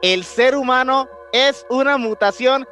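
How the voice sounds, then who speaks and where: A man's voice: very high voice, a little slow, sharp tone, soft background music. A man from Puerto Rico co-hosting a podcast in a casual, conversational way. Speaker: podcast co-host.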